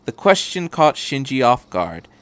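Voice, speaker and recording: A man's voice: mid-range voice, sing-song, a little slow, a bit bright. A man hosting a podcast and reading fan fiction aloud as scripted narration.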